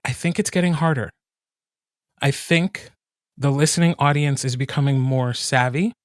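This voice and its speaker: A man's voice: mid-range voice, moderate pace, no echo, clean studio sound. A man who narrates audiobooks, speaking frankly and thoughtfully in a casual roundtable discussion. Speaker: audiobook narrator, podcast co-host.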